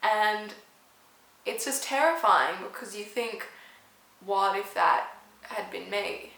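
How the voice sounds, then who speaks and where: A woman recounts a story casually, full of fillers and asides. Her voice has a gravelly fry, and the room adds echo. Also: medium pitch, slow pace, a bit bright. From Sydney, Australia. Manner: casual, uses 'like' often. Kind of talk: personal story told to camera.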